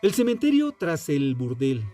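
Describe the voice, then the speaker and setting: A man delivering dramatic scripted true-crime narration in a solemn, deliberate, sententious manner. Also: on the high side, very animated, warm tone. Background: background music.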